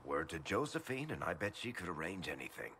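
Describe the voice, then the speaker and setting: An actor playing a world-weary but teasing male adventurer in a video game, with a deep gravelly voice and a wry manner. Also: sing-song, brisk, pushed and bright, slight background noise, exaggerated voice. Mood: lightly teasing. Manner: wry, sardonic, conversational. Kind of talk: video game character dialogue.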